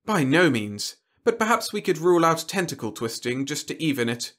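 A man's voice: fairly high pitch, big pitch swings, moderate pace, a bit bright, voice pushed up. A man voicing a genteel, pompous alien warlord in an audiobook, with fussy, over-polite, mannered speech. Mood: reluctant, courtly. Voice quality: scratchy. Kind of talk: audiobook character dialogue.

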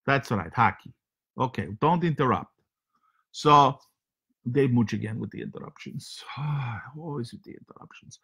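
A man's voice: on the high side, slow pace, pushed and bright, heavily acted. A man voicing a rambling foreign male show host who speaks broken English and keeps losing his words. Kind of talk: comedic character monologue.

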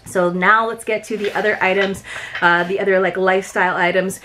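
The woman you would hear in a home-filmed unboxing video, middle-aged, chatting casually to the camera with a medium-pitched voice. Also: little pitch movement, a little fast, bright, forceful tone, a little hiss or hum. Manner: chatty, informal, with frequent "like". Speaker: subscription box reviewer. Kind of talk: casual product unboxing chat to viewers. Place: small furnished room at home, indoors.

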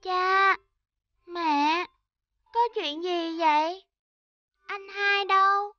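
A performer voicing an innocent, confused little girl in an animated fairy tale. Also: very high voice, drawn-out words, dry recording, highs cut off, exaggerated voice. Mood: confused, weak.

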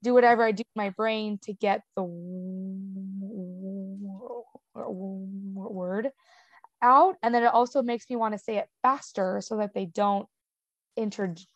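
A woman's voice: mid-range voice, fairly even intonation, a little slow, dry recording, lo-fi sound. A young woman with a stutter, talking casually as an interview guest, with sound repetitions, blocks and lots of "um" and "like".

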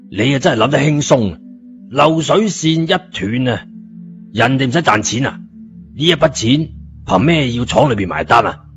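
A man's voice: medium pitch, fairly bright tone, voice pushed up. A hot-headed brother from an audiobook drama, acted out by a male narrator. He is blunt and scornful, yet protective of his sister, in a mid-range voice over music. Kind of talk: audiobook character dialogue.

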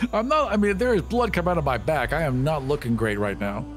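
A man's voice: a little high, animated, brisk. A man chatting casually as a player in a tabletop role-playing game, describing what his character does. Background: music under the voice.

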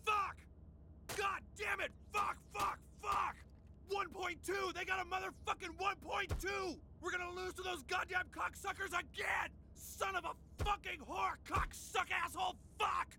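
A man voicing a hot-headed, boastful, foul-mouthed suburban dad in an animated comedy. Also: very high-pitched, a little fast, slight background noise, heavily acted. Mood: enraged. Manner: excitable, competitive, swearing.

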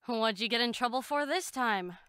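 A woman's voice: fairly high pitch, animated, moderate pace, a bit bright, smooth, no room sound, studio quality. A woman playing an upbeat, teasing teenage schoolgirl in an amateur audio drama.

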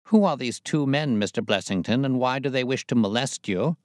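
A man's voice: mid-range voice, animated, a little fast, no echo, clean studio sound, pitched above the performer's own voice, close to an everyday voice. A man voicing a shrewd, self-assured detective in an audiobook, reasoning in a formal, incisive manner. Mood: cool, questioning. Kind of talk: audiobook character voice.

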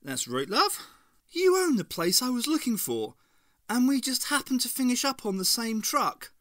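A man's voice: very high-pitched, sing-song, moderate pace, a bit bright, no room sound, clean studio sound, pitched above the performer's own voice, exaggerated voice. A man reading aloud and voicing a stout older woman who owns a cafe, cosy and motherly in phrasing but shrewd. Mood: placid menace.

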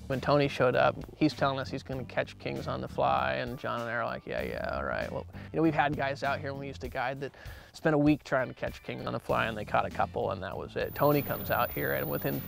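A man's voice: mid-range voice, animated, a little fast, vocal fry, faint music behind. A man, a fishing guide, talking casually in an interview outdoors on a river boat, often repeating words as he thinks.

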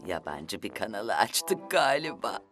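A woman voicing a kindly, amused old grandmother in a children's cartoon, gently chiding, with music behind her. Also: a bass voice, sing-song, unhurried, exaggerated voice.

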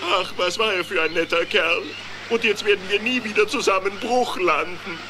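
A man's voice: high-pitched, moderate pace, lightly acted. A man playing a good-natured, reluctant and clumsy duck pilot in a cartoon audio play, worried and hesitant. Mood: worried, rueful. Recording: noise behind the voice.

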